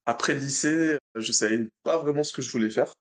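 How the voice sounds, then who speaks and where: A man in his late twenties with a French accent, a podcast guest telling his own story in a relaxed way, often restarting his sentences. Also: medium pitch, brisk. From France, born in Madagascar. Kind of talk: interview.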